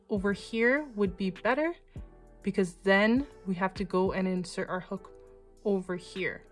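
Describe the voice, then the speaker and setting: A woman giving patient, step-by-step crochet instructions as a tutorial host, speaking over close-up footage of her hands. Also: medium pitch. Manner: calm, patient, reassuring instructions.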